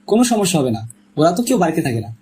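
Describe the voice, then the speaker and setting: A man's voice: high-pitched, sing-song, racing, mellow. A man acting practical, matter-of-fact male characters in an audio story. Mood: settled. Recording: faint background noise.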